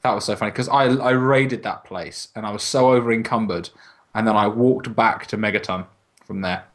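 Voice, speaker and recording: A man's voice: fairly low pitch, moderate pace. A man chatting casually about video games as a podcast panellist, swearing freely and acting out imagined quotes.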